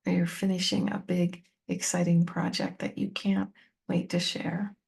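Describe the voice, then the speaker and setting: A woman leading a guided meditation, speaking gently and unhurriedly to the listener in short, spaced-out phrases. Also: on the low side, level tone, measured pace, vocal fry, breathy edge. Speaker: meditation teacher and tapping coach.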